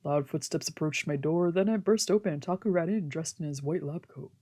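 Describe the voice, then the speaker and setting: A woman's voice: low-pitched, fairly quick, noisy background. A woman reading a visual novel aloud for a let's play, moving between story narration and casual, slangy asides. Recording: music playing behind the voice.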